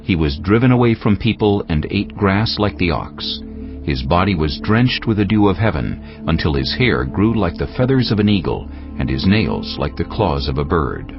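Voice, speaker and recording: A man's voice: low voice, sing-song, normal speed. A man narrating a dramatized scripture reading as a scripted storyteller. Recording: muffled, with faint music.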